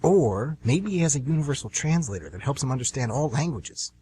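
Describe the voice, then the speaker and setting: A man's voice: medium pitch, lively intonation, a little fast, warm tone, a little hiss or hum, close to an everyday voice. A man playing a hopeful, earnest train passenger and devoted father in an amateur radio drama, somewhat hesitant in speech. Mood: hopeful, curious.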